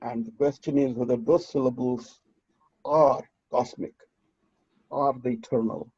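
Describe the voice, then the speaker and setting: Man moderating a seminar: gravelly, husky, mellow, lecturing with restarts; lo-fi call audio. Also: medium pitch, fairly even intonation, dry recording. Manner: earnest, lecturing, halting restarts. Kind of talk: online seminar discussion. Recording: dull lo-fi video call audio.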